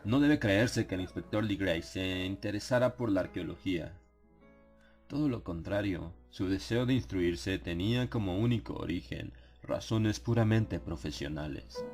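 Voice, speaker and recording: A man with a low, deep voice narrates a dramatized horror audiobook. His delivery is solemn and measured, with a literary feel. Soft music plays behind him. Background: atmospheric music.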